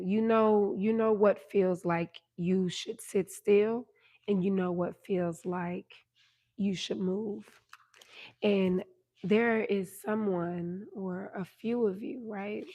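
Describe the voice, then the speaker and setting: A woman with a hoarse voice giving a tarot reading on a livestream, speaking soothingly and checking in with "right?" and "okay?" as she goes. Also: medium pitch, warm tone. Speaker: tarot reader.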